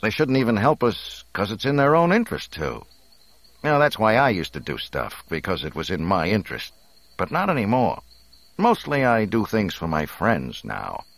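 A man voicing a roguish smuggler in an audiobook, speaking bluntly and haltingly in plain colloquial words. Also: fairly low pitch, huge pitch swings, fairly bright tone, dry recording, voice pushed up, exaggerated voice. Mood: earnest pleading. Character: roguish adult male smuggler, gruff but earnest.

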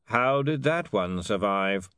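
A man's voice: lowish voice, expressive, slow, a bit bright, clear, resonant tone, dry recording, studio quality. A man voicing a pompous older lord in an audiobook, baffled, testy and easily led, with a blustering, dithering manner. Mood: bewildered.

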